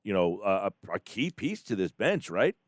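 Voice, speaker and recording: A man hosting a casual sports radio interview, asking questions in a halting, hesitant way with plenty of ums. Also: lowish voice, sing-song, dry recording, clean studio sound.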